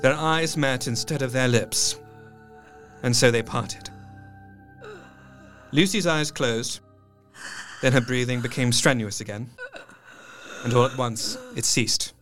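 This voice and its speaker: An actor playing a sorrowful, earnest doctor who narrates a gothic tale in measured, literary phrases. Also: male voice, medium pitch, steady tone, a bit bright, soft background music. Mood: tense, grave. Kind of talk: dramatic scripted narration.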